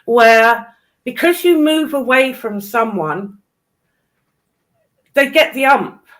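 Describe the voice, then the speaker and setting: A woman's voice: on the high side, expressive, takes it slowly, fairly bright tone. A woman with a British accent chatting casually in a blunt, sweary, emphatic way. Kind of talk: casual chat.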